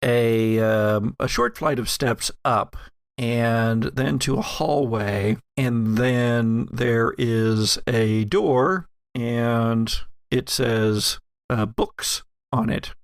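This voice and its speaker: Male game master for horror tabletop play, unhurried and deliberate, recorded dry. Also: mid-range voice, clean tone, dry recording. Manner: halting, deliberate scene-setting.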